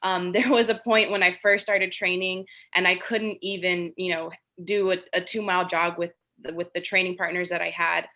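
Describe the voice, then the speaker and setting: A woman of thirty, a track athlete, speaking clearly and confidently in a testimonial. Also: on the low side, level tone, normal speed, bright, forceful tone. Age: 30s. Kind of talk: testimonial interview.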